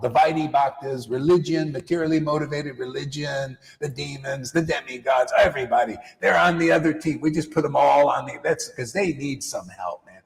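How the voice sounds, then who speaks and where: A man giving a lively spiritual talk to a live audience, slangy and repetitive, often calling listeners "man". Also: fairly high pitch, big-room sound, poor audio. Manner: animated, slangy, repeats phrases, calls listeners "man". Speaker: spiritual teacher. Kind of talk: casual live-stream talk.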